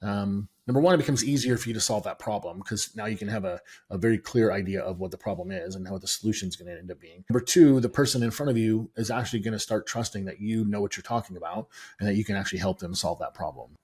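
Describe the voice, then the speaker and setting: A middle-aged American man with a low, husky voice explains his sales process as an interview guest. He speaks in a measured, steady way, pausing often with "um". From United States. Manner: measured, steady, many "um" pauses. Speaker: guest, sales and software founder.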